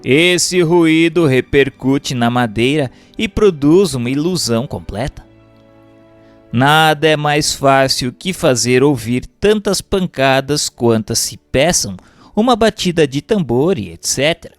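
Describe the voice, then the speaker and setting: A man's voice: a little high, animated, measured pace. A man with a Brazilian accent reading a book aloud as formal, didactic scripted narration, enunciating carefully. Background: music.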